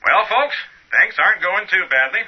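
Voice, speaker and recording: Man playing a husky, folksy country sheriff. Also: high voice, lively intonation, moderate pace. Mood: folksy, unconcerned. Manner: drawling folksy speech, casual and blunt. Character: folksy, unflappable rural county sheriff, blunt and matter-of-fact. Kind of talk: radio play acting, interviewed on a news bulletin. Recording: muffled radio-broadcast sound.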